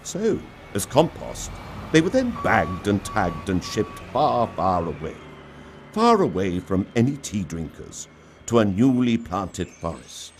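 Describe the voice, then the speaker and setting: A man reading a story as a dramatic narrator, with a theatrical, mock-grave delivery and deliberate dramatic pauses. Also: fairly low pitch, huge pitch swings, soft background music.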